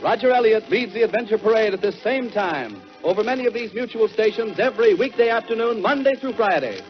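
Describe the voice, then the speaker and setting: A high-pitched American man announces in 1940s radio style. The old recording sounds dull, and music plays clearly behind his voice. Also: expressive, brisk.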